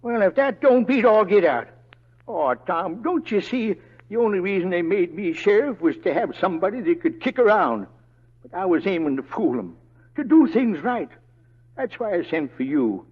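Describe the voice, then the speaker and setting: An actor playing an excitable, blustery Western town sheriff with a raspy voice and folksy drawl in an old radio drama. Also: male voice, high voice, normal speed, heavily acted. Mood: exasperated. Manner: folksy frontier drawl, exclamatory. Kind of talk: 1930s-40s radio acting. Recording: muffled old radio broadcast, audience noise.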